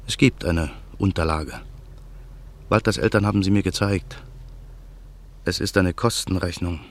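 An actor playing a vengeful man who broods in a grim inner monologue in a radio drama. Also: sits low. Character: vengeful man waiting in a car at night. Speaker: narrator and protagonist. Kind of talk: 1950s radio drama acting.

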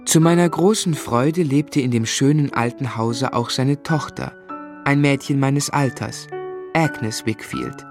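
An actor playing a grown man who reflectively narrates his boyhood in a German radio drama, in a measured literary delivery. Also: medium pitch, animated, over music.

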